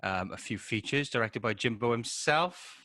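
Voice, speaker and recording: A man's voice: medium pitch, animated, normal speed, sharp tone, no echo. A man in his late thirties chatting casually and a little hesitantly as he co-hosts a film review. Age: late 30s. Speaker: podcast co-host and filmmaker.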